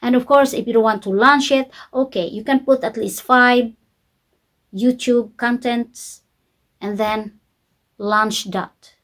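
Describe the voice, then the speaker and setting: A Filipino-accented woman speaks in a slightly high voice as an online coach. She uses simple, deliberate English as a second language. Her informal delivery is emphatic, with key points repeated for the viewers. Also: fairly even intonation, fairly bright tone. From Philippines. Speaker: YouTube coach.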